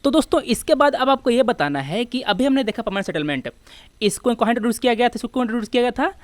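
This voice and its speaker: A woman's voice: on the high side, lively intonation, racing, dry recording. A woman with an Indian accent lecturing as an exam-prep teacher, explaining step by step with rhetorical questions and repeating key phrases for emphasis. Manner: switches between Hindi and English.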